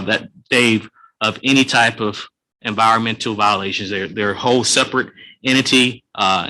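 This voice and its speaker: A police chief addresses a city commission, his voice sitting on the low side with a bright, forceful edge. He speaks earnestly and formally, aiming to reassure. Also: poor audio. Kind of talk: reporting at a public meeting.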